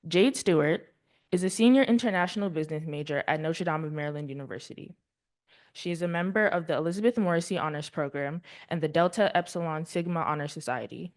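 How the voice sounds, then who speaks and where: Woman emcee, formal bio reading, low pitch, occasional stumbles. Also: fast talker, a little mellow. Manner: formal, reads bios with small stumbles. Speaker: event introducer. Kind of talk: reading speaker introductions.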